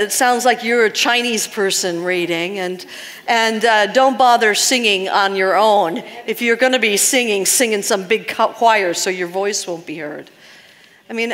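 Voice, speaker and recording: A woman's voice: mid-range voice, fairly bright tone. A woman in her sixties leading a live workshop, earnest and asking the audience questions. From United States. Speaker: seminar leader. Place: large seminar hall. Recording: long reverb of a seminar hall.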